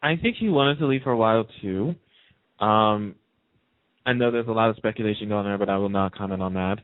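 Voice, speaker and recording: A man chatting casually as a radio guest in a hesitant, measured way. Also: on the low side, no room sound. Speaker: radio personality as interview guest. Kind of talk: casual chat about television. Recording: dry, thin phone line.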